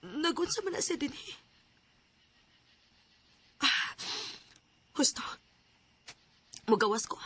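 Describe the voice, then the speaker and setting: A woman's voice: high voice, sing-song, takes it slowly, long pauses, fairly bright tone, full character voice. A woman with a Filipino accent playing a troubled, anxious wife hiding an affair in a radio drama. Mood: agitated.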